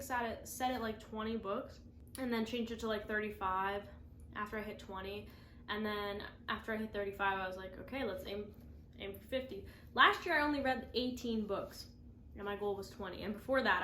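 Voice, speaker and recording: A woman's voice: mid-range voice, fairly bright tone, a little hiss or hum. A woman in her twenties, congested from the flu, chatting casually in a rambling vlog style full of "like"s, in her apartment. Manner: rambling, many "like"s and restarts. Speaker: vlogger. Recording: echoey room with faint ambience.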